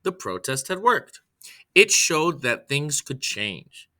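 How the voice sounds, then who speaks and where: A man reading a children's biography aloud from a script, phrase by phrase, in a deliberate way. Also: on the high side, sing-song, sharp tone. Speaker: reader, storyteller.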